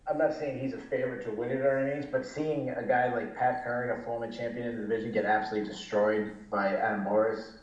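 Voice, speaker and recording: A man's voice: medium pitch, quick pace, hardly any pauses. Rhode island guy rambling on a podcast, lots of uhs, echoey room, kinda muffled sound. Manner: relaxed, rambling, many fillers and restarts. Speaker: podcast guest. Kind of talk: casual podcast chat. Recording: echoey, dull lo-fi remote audio.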